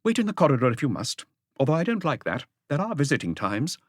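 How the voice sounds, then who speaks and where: A man's voice: on the high side, very animated, fast talker, breathy edge, dry recording, clean studio sound, voice pushed up. A man voicing a stern, disagreeable hospital matron in an audiobook, curt, imperious and withering. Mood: bossy. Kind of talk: audiobook character voice.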